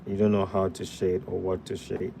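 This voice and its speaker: A man's voice: low voice, monotone, normal speed, gravelly, noisy background. A young man from Ghana hosting a live drawing tutorial, chatting casually with viewers while he sketches at a desk indoors. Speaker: drawing livestream host.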